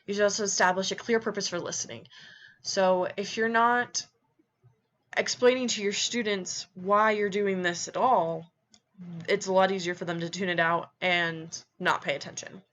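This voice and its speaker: A woman's voice: mid-range voice, steady tone, moderate pace, fairly bright tone, dull audio. A young woman, a music education student, explaining read-aloud steps in an informal presentation with many ums and restarts. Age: young adult.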